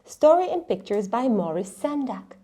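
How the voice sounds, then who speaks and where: A middle-aged woman hosting a children's read-along, telling stories in an expressive, dramatic way that sometimes drops into a hush. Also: a little high, moderate pace. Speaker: host of a children's read-along and craft show. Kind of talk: animated storytelling for children.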